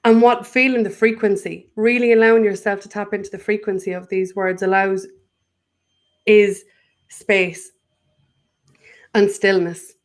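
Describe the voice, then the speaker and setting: A woman's voice: mid-range voice, flat delivery, measured pace, long pauses. A woman with an Irish accent, a guest in a spiritual conversation, speaking earnestly and often breaking off to restart her thought.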